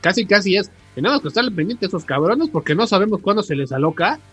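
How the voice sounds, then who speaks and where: Mexican dude with a husky highish voice, joking n swearing, casual podcast banter, bit of music behind. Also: male voice, sing-song, fast, a bit bright. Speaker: podcast co-host. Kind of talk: casual banter, asking questions.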